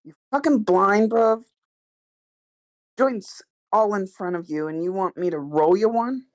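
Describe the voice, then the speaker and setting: A woman's voice: medium pitch, a little slow, darkish tone, natural voice. A woman reading a curt, sweary nightclub gangster in a live screenplay table read. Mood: scornful. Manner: blunt, sweary street slang.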